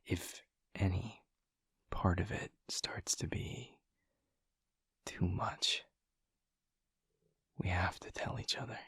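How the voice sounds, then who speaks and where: A man playing a gentle, devoted vampire lover in an intimate audio roleplay, speaking in a breathy, husky hush with slow, halting, tender pauses, close to the microphone. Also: deep voice, level tone, no room sound, full character voice. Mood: tender, careful. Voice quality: breathy, husky, often whispering. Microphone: close microphone, dry.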